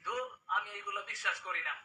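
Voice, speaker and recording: A man's voice: sits high, fast talker, pushed and bright, roomy sound, distant mic. A man voicing a skeptical, exasperated husband and father in a cartoon, sarcastic and complaining. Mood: skeptical, grumbling. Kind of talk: cartoon character dialogue.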